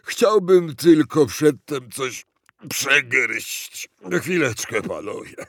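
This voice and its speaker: Gluttonous fat president, acted by a man for a storybook: lazy, laboured, halting, very slow, exaggerated intonation. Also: medium pitch, sing-song, no echo, clean studio sound, voice pushed up, full character voice. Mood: sluggish, affable.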